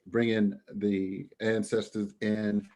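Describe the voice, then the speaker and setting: A man's voice: fairly low pitch, little pitch movement, takes it slowly, darkish tone. A man hosting an event and introducing the next speaker, friendly, with fillers. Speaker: host.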